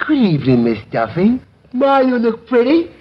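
An actor playing a slow-witted, innocent, hesitant tavern regular in a 1950s radio comedy. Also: male voice, extremely high pitch, huge pitch swings, full character voice. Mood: friendly, dopey. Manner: dopey, hesitant. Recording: very noisy muffled 1950s radio broadcast.

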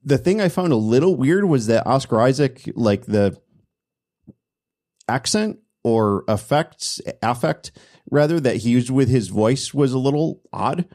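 A man's voice: mid-range voice, expressive, unhurried, a little mellow. A man hosting a podcast in casual chat, enthusiastic, often stammering and restarting his sentences. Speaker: podcast host.

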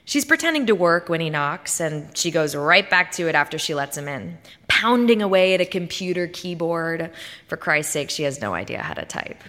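A woman giving a dramatic, expressive reading of literary fiction, like a performance on stage. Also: on the low side, moderate pace. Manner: theatrical, expressive delivery. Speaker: short story reader on stage. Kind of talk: dramatic scripted literary narration. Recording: reverberant hall.

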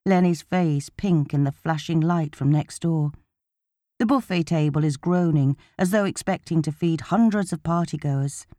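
A woman narrates a novel in the first person with a soft, dark-toned voice. Her pitch sits a little low, and she tells the story in a conversational, expressive way. The sound is very dry. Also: moderate pace, no room sound. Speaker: audiobook narrator.

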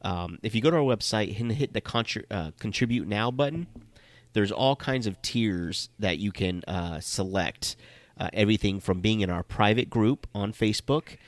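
A man with a very deep voice co-hosts a podcast, sounding stuffy as he gets over a cold. He rambles and halts, full of ums and uhs. The sound is close and dry. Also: no echo. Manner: rambling, halting, many fillers. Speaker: podcast co-host. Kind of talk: casual chat.

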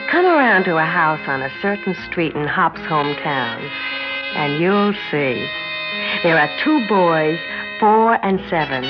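A woman's voice: fairly low pitch, natural voice. An actress with an American accent playing a tough but self-doubting young woman athlete in a 1960s radio drama, plain-spoken, slangy and emotional. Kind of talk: 1960s radio drama acting with first-person narration. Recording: muffled 1960s radio recording.

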